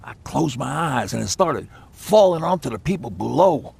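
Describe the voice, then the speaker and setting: A gravelly old folksy survivor. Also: male voice, medium pitch, sing-song, normal speed, warm tone, heavily acted. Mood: somber. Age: older. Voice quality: gravelly, raspy. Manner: folksy, lighthearted, chatty. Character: folksy aging male survivor, lighthearted but haunted. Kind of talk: game voice acting, reminiscing dialogue.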